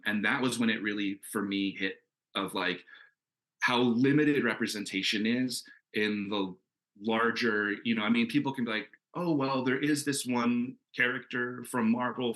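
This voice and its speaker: A man's voice: medium pitch, fairly bright tone, low-quality recording. A man speaking as a comics creator on a panel, casual and earnest, talking in an enthusiastic, rambling way.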